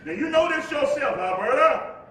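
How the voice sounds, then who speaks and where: A man's voice: lively intonation, moderate pace, bright, forceful tone, exaggerated voice. An actor playing a stern, hot-tempered preacher father in a staged play reading, with emphatic, folksy delivery. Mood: firm, defensive. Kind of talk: staged play reading before a live audience. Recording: distant echoey hall recording, faint rumbling noise.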